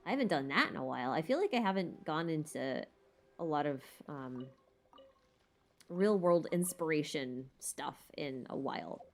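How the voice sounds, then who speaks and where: A woman with a slightly low-pitched voice talks as a livestreamer in a loose, casual style. She thinks out loud, rambling and tentative, as if working out her ideas while she speaks. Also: animated, moderate pace. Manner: thinking aloud, tentative and musing. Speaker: streamer hosting a lore Q&A. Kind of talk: casual rambling livestream chat about game lore.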